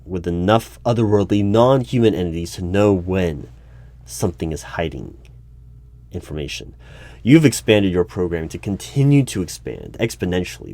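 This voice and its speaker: A man playing a wary, probing hiring manager in a one-sided audio roleplay, speaking haltingly with stammers and repeats. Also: sits low, dark tone. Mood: wary, suspicious, then guarded questioning. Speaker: hiring manager at a secret agency. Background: computer keyboard typing.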